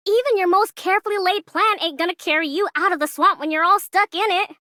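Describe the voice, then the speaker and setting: An actress playing a brash, upbeat young courier in a video game, with a folksy, swaggering manner. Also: female voice, very high-pitched, moderate pace, sharp tone, no echo, clean studio sound. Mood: boisterous, confident. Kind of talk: video game character voice acting.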